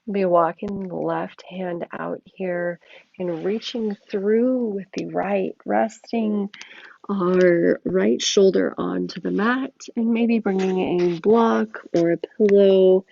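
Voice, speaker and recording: A woman teaching a yin yoga class, hoarse and froggy from an allergy attack, giving slow, soothing cues. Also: mid-range voice, fairly even intonation, mellow, no echo, lo-fi sound. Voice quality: gravelly, froggy voice. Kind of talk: guided yoga instruction.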